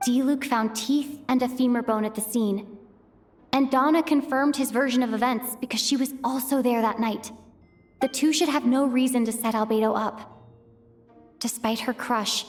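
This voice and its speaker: A woman plays a young female traveler thinking through a mystery step by step, weighing each clue and questioning herself as she goes. Her voice sits a little above the usual female pitch, and faint game music runs quietly underneath. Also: fairly even intonation, normal speed, close to an everyday voice. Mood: thoughtful. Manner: methodical, reasoning aloud, questioning herself. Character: thoughtful young female traveler piecing together clues. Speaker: the traveler in a fan dub. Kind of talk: fan voice acting of a game cutscene, inner monologue.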